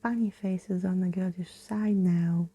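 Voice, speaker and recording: A woman's voice: lowish voice, fairly even intonation, measured pace, warm tone, slightly rough. A woman voicing a menacing floating ghost who moans in broken, clipped sentences for a storybook reading. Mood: triumphant menace. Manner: moaning, clipped broken grammar.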